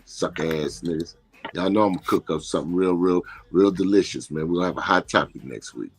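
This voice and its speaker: A man's voice: deep voice, quick pace, husky. A man co-hosting a casual podcast, brash and trash-talking as he signs off. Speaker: podcast co-host.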